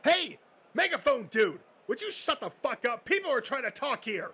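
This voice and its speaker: Man doing a high, whiny, bitter comic nerd. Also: big pitch swings, moderate pace, pushed and bright, slightly rough, exaggerated voice. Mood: defensive anger. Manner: agitated, profane, melodramatic. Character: foul-mouthed, bitter, whiny adult male comic nerd. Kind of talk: amateur comic dub acting.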